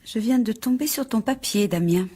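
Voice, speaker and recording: A woman's voice: a little high, moderate pace, husky. A woman playing a spirited, emotional young actress in a French radio drama. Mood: angry, reproachful. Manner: emotional, expressive. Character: hopeful, spirited young actress, easily moved, quick to reproach.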